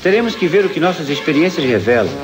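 A man's voice: a little high, very animated, fast talker, music in the background. A man playing a kindly, earnest scientist who explains things, in a Brazilian cartoon dub. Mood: thoughtful. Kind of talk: dubbed cartoon acting.

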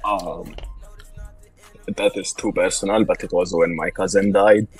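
A man answering questions as a contestant on a voice call, with guarded, flat replies. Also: sits low, a little slow, breathy edge, soft background music. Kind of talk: casual chat on a voice call.

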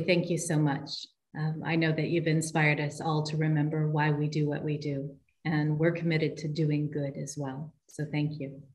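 Middle-aged female dean as emcee, low-pitched, formal introductions. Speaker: dean hosting the ceremony. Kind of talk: ceremony introductions.

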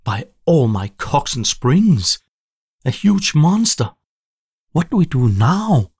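A man's voice: a little high, sing-song, slow pace, a little mellow, slightly rough, no echo, clean studio sound, pitched above the performer's own voice, full character voice. A man voicing a small, anxious robot sidekick in a storybook, skeptical but kindly and fretful. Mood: excitable.

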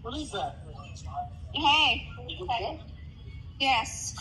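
A woman's voice: high-pitched, animated, very slow, pushed and bright. A middle-aged woman talking in a phone conversation played over a speaker. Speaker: mother receiving a phone call. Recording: noisy phone call on speaker.

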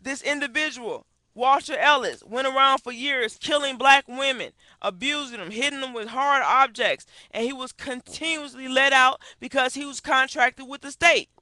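A woman's voice: high voice, moderate pace, hardly any pauses, bright, forceful tone, no echo. A middle-aged woman giving impassioned, indignant commentary into a webcam headset in a small room, asking pointed rhetorical questions. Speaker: activist vlogger. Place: small wood-paneled room indoors.

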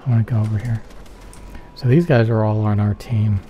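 A man giving soft-spoken ASMR gameplay commentary in a murmur, repeating pet phrases, close to the microphone. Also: fairly low pitch, steady tone, a little fast. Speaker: video game streamer. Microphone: close stereo microphone. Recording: faint keyboard typing noise.

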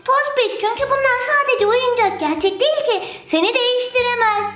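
A performer voicing a patient, sensible older girl in a children's toy skit, gently chiding and indulgent. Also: very high-pitched, normal speed. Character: patient, sensible older girl looking after little ones. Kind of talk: children's toy play skit, acted dialogue. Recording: roomy echo with music behind.

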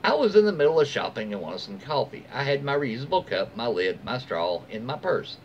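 A middle-aged American man reads aloud casually, like a host chatting with his followers. His mid-pitched voice moves up and down in a lively way, with informal, folksy asides. He is recorded at home with faint noise in the room. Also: fairly quick, highs cut off. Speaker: host reading online stories aloud. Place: small room at home, indoors.

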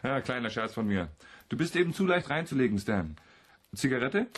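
A man's voice: medium pitch, very animated, brisk, breathy edge. An actor playing a mischievous young man in a radio play, with a teasing manner. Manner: mock-ominous, teasing.

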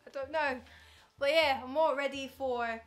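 A woman's voice: sits high, fairly even intonation, moderate pace, faint music behind. A woman in her twenties chatting casually and playfully to camera as a vlogger, addressing her viewers directly in a bedroom. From Ghana. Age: 20s. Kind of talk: casual vlog chat to camera. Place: bedroom, indoors.

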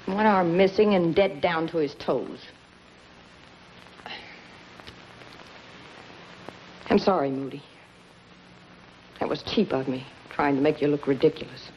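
An actress playing a bitter, desperate gangster's moll with a sardonic, clipped, dramatic delivery in a 1950s television crime melodrama. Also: female voice, low-pitched, moderate pace, close to an everyday voice. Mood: scornful. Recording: muffled, noisy 1950s television soundtrack.

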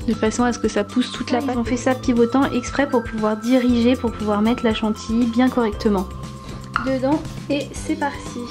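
A young woman in a casual voice-over, explaining each step of a craft toy in a relaxed way with small fillers. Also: fairly high pitch, moderate pace, music in the background. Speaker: toy review YouTuber.

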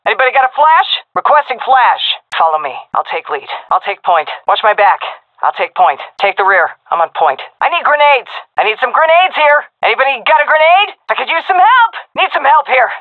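An actress playing a tough, wisecracking female Navy SEAL commander in a video game, with brisk, cocky military callouts over a radio filter. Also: high voice, very animated, dry recording, phone-quality sound. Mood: urgent, then commanding. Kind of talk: short tactical shooter voice lines.

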